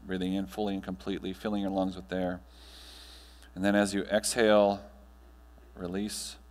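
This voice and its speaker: An American man in his thirties speaking as a trainer to an audience, guiding them in a measured, instructional way with deliberate pauses. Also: sits low, in a large hall. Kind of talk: guided breathing meditation and talk to an audience. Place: large hotel conference ballroom, on stage.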